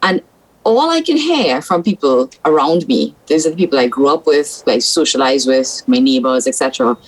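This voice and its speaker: A woman's voice: low voice, big pitch swings, normal speed, low-quality recording. A woman from South Trinidad with a Trinidadian accent, a senator giving blunt, frank opinions as a guest on a political talk show.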